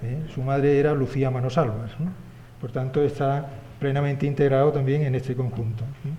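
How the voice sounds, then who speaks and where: Measured Spanish man giving a lecture. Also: mid-range voice, fairly even intonation, dark tone, dull audio, a little hiss or hum. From Spain. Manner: measured, with frequent "eh" pauses and tag questions. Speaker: historian giving a conference talk. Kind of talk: academic lecture. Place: university conference hall.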